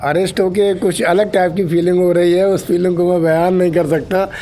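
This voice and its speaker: Higher-pitched man giving formal interview answers. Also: little pitch movement, fairly quick, dark tone. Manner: formal, earnest, mixes in English terms. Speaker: senior traffic police officer, interview guest. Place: radio studio.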